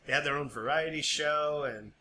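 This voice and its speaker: A man's voice: on the high side, normal speed, pushed and bright. A man in his forties co-hosting a podcast in casual chat, rambling and often searching for words. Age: 40s. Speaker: podcast co-host. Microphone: podcast microphone. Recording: background noise, sometimes distant mic pickup.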